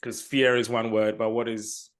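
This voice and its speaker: A man's voice: medium pitch, level tone, moderate pace. A man speaking as a guest coach in an interview, reflective and often restarting his phrases. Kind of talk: casual interview chat.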